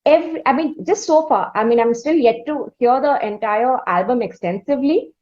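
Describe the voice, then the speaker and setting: A woman hosting a music interview, effusive and rambling, with frequent hesitant pauses. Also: sits high, darkish tone, dull audio. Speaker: interviewer, host.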